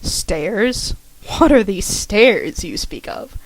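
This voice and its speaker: A woman reading aloud and voicing a shy young female college student who talks softly with nervous, wry humor. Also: mid-range voice, big pitch swings, slow, no room sound, full character voice, low-quality recording.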